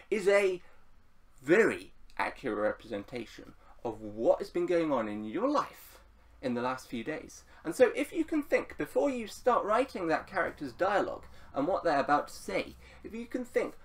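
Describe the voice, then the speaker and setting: A man's voice: high-pitched, far from the mic. A man giving casual, unscripted writing advice as a video host, rambling playfully and repeating phrases for emphasis. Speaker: YouTube writing coach and host.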